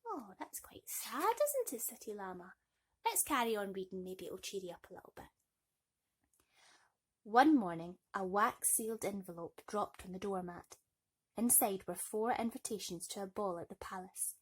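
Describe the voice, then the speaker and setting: A woman's voice: mid-range voice, animated, moderate pace, husky. A woman reading children's bedtime stories expressively, with playful, nurturing asides to young listeners. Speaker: bedtime story reader.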